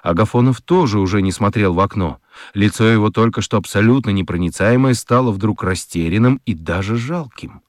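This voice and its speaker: A man narrating a children's radio play as an expressive storyteller, with deliberate dramatic pauses. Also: fairly low pitch, no echo, studio quality. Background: prominent music.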